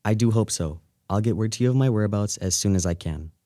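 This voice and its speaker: A man's voice: on the low side, quick pace, warm tone, no echo, studio quality, close to an everyday voice. A man playing an earnest, courteous and gallant young man in a radio play.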